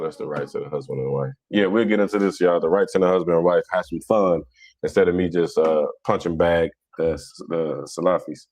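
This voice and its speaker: Low-voiced Philly man, blunt and colloquial. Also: darkish tone, dry recording. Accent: Philadelphia. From Philadelphia, United States. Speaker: livestream host. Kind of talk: casual live religious debate, answering viewer comments.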